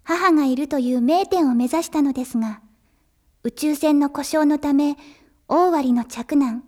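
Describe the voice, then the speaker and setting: A female anime storyteller played by an actress with a high voice. She narrates in a measured, polite way. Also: warm tone, natural voice.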